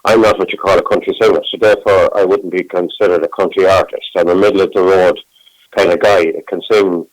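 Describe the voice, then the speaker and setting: Deep-voiced middle-aged man. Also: fairly even intonation, brisk, dry recording, low-quality recording. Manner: rambling, warm self-talk with many restarts. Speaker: singer, interview guest. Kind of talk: casual phone interview.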